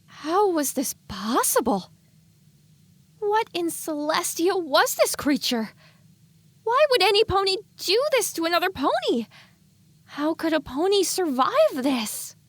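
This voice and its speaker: A woman's voice: very high-pitched, sing-song, a little slow, no echo, voice pushed up, exaggerated voice. A woman voicing a cute, naive young pony in an audio drama, with an earnest, flustered manner. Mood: shocked. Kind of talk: audio drama character acting.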